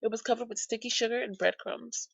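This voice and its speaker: A woman's voice: a little high, steady tone, fast talker, vocal fry, slightly rough, no room sound, dull audio. A woman doing a playthrough, switching between slangy, irreverent commentary and theatrical read-aloud narration. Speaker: let's player. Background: game music behind the voice.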